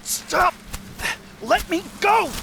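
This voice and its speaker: A man's voice: extremely high pitch, drawn-out words, creaky, background noise, full character voice. A man playing an earnest, nervous anthropologist in an audio drama, with a hesitant, stammering way of talking. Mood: panicked, pleading. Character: earnest, nervous male anthropologist, apologetic outsider.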